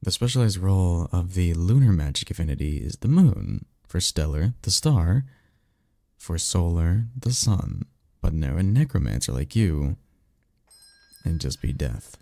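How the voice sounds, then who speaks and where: A man playing a blunt, sarcastic vampire mentor in an audio roleplay, talking in a casual, halting, exasperated way. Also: deep voice, very animated, creaky, warm tone, dry recording, studio quality, exaggerated voice. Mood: explanatory, skeptical. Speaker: voice actor. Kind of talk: ASMR roleplay audio drama, one-sided conversation.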